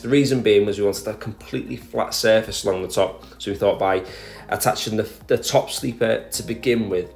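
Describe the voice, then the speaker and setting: A man with a low voice talks methodically through a do-it-yourself project in a recorded voice-over. Faint music plays quietly underneath him. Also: gravelly. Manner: plain, methodical explaining with small restarts. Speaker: garden DIY vlogger. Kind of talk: voice-over narration of a DIY project.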